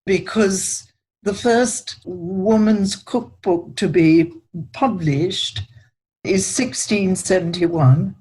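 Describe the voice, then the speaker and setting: An older woman speaks as an interview guest, measured and thoughtful. She talks slowly at an average pitch. Her voice is a little husky. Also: a little mellow, low-quality recording. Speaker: guest, culinary historian. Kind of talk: podcast interview.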